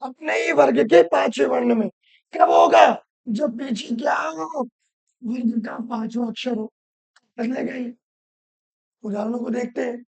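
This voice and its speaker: Woman, hoarse from a cold, grammar teacher, high pitch, repeats rules loudly, dry recording. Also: unhurried, pauses often, dry recording. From Rajasthan. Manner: asks questions and answers them herself, repeats rules loudly. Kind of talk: classroom-style lesson.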